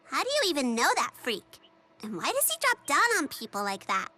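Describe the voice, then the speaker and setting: A bossy little girl from a video game. The voice is extremely high and bright, with lively swings as she bluntly questions the grown-ups. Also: moderate pace, full character voice. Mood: pert, curious. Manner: blunt, childlike, demanding.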